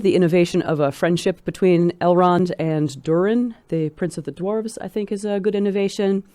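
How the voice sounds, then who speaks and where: A woman's voice: deep voice, darkish tone, no room sound. A woman speaking as an interview guest, explaining and analysing in an articulate, emphatic, scholarly manner.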